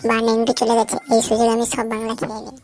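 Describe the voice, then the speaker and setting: Crude, quarrelsome young male cartoon slacker acted by a man, very high processed voice, West Bengal Bengali accent, amateur comedy. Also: monotone, fast talker, a little mellow, soft background music. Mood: greedy, brash. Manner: brash, foul-mouthed, whiny.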